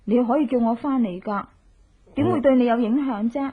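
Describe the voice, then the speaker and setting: A high-pitched, coaxing young wife. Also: female voice, fairly quick, breathy edge. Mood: scheming. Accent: Hong Kong Cantonese. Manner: coquettish, wheedling. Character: cook's scheming, coaxing wife, playful and bold. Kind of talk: Cantonese radio drama acting. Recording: muffled narrow-band old radio recording.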